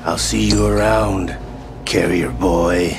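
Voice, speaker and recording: An actor playing a sadistic, theatrical gunman villain in a video game, drawling out his taunts in a gravelly, mocking voice. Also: deep voice, fairly even intonation, full character voice. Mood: mocking, smug. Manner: drawling, mocking, drawn-out words. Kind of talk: video game cutscene acting. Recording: loud music bed under voice.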